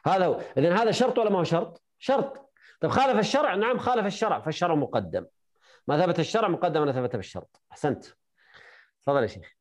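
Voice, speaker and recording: A man teaching a jurisprudence lesson online, briskly quizzing and correcting his students. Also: high voice, animated.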